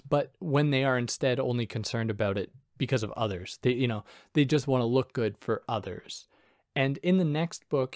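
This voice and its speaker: A man's voice: medium pitch, darkish tone, no echo, clean studio sound. Soothing, self-correcting male lecturer. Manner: thoughtful, self-correcting, many fillers. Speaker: philosophy video host. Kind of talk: unscripted explainer lecture.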